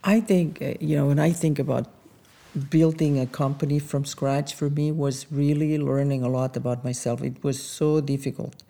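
Middle-aged woman, creaky voice, soft warm tone, conversational interview storytelling. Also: low-pitched, fairly even intonation, moderate pace. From Andorra. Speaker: interviewee, biotech entrepreneur.

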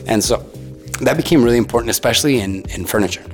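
A man's voice: medium pitch, fast talker. A young man from the Tijuana area, a native Spanish speaker, telling his story in a casual, laid-back way, calling people dude and bro. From Mexico, Tijuana area. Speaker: interviewee. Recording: music playing underneath.

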